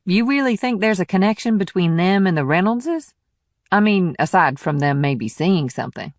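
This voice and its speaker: Woman playing a low-voiced, no-nonsense cop. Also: animated, moderate pace, dry recording, studio quality, natural voice. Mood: guarded, dry. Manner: blunt, guarded answers. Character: guarded, no-nonsense female small-town cop. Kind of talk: audiobook character dialogue.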